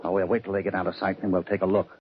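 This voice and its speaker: An actor playing a calm, steady Old West cowboy hero in an old-time radio western. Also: male voice, low-pitched, very fast, close to an everyday voice. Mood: steady, questioning. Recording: dull, noisy old radio recording with clicks.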